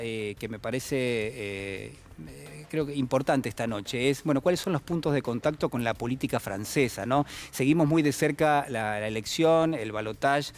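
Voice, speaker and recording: Male political interviewer, Argentine accent, average pitch, conversational and hesitant, pausing often as he thinks aloud. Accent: Argentine Spanish. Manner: hesitant, halting, thinking aloud with repeated pauses. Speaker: television interviewer, host.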